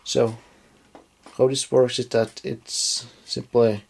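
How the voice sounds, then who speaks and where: A young man from Norway with a Norwegian accent, showing off a homemade electronics project in a small room at home, explaining it haltingly with many fillers and self-corrections. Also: mid-range voice, flat delivery, vocal fry, breathy edge. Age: young adult. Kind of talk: hobbyist electronics demo.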